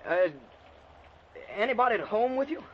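Radio play: nervous, jealous husband, high voice, halting bluster, hissy recording. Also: male voice, animated. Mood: uneasy. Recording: dull lo-fi radio recording, background hiss.

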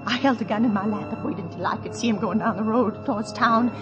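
A woman's voice: very high voice, expressive, fairly quick, lo-fi sound, loud music behind the voice. An actress playing a terrified, frantic married woman in a 1940s radio suspense drama, breathless and overwrought. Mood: anxious, dread.

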